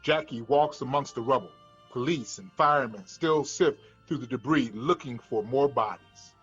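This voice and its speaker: A man reading scripted screenplay directions as narration, in a husky voice. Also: mid-range voice, normal speed, fairly bright tone. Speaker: stage directions reader. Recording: dull lo-fi room recording, faint music.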